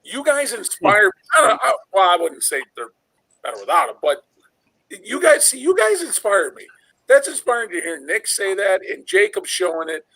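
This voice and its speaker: A man's voice: high-pitched, big pitch swings, normal speed, pushed and bright, no echo, low-quality recording. A middle-aged man who hosts a channel, chatting casually about music in a rambling, repetitive way. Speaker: metal video channel host. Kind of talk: casual group chat about metal.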